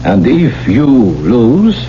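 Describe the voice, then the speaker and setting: An actor playing a sly, aristocratic Count in a 1970s radio drama, urbane and mockingly polite. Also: male voice, medium pitch, huge pitch swings, very slow, mellow, a little hiss or hum, full character voice. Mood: smooth, courteous. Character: suave, sly aristocratic male Count and villain. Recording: dull, hummy old radio recording.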